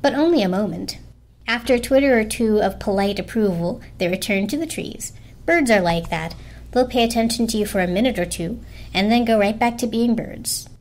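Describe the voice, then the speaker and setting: A woman's voice: on the high side, a little fast, a little mellow. A woman reading a storybook aloud as a dramatic narrator.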